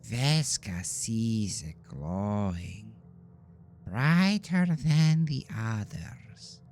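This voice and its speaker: A man voicing an eerie small girl with a vacant, hungry menace, speaking slowly and softly of herself in the third person. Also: on the low side, expressive, faint music behind, voice pushed up. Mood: eerie, entranced.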